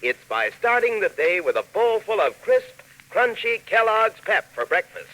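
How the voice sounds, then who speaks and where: Old radio ad man talking to kids, folksy, sharp bright voice, high for a guy, faint hiss. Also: sing-song, normal speed, low-quality recording. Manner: folksy, addressing children. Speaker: announcer and cereal pitchman. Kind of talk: scripted 1940s radio advertisement. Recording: hissy 1940s radio recording.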